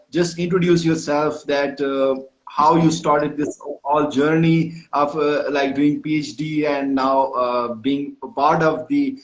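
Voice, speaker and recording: A man's voice: mid-range voice, reverberant, highs cut off. A man hosting a live stream, greeting viewers one by one and hesitating often with fillers. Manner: hesitant, many fillers and restarts. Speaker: host. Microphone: phone or video call mic.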